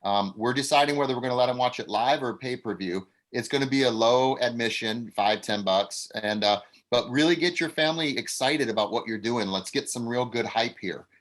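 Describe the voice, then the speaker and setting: A man's voice: medium pitch, brisk, few pauses, a bit bright. A man speaking as an acting coach and director, leading a casual online group meeting in an encouraging, directing way. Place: online video call.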